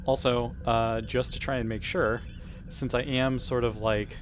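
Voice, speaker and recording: A man's voice: mid-range voice, little pitch movement, unhurried. Man chatting at a tabletop game, noisy recording. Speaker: player in a tabletop role-playing game. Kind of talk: casual game-table discussion.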